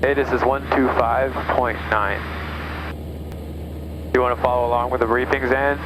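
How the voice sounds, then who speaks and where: A man's voice: mid-range voice, steady tone, moderate pace, noisy background. A man talking casually as a pilot through a headset in a small plane cockpit. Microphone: aviation headset.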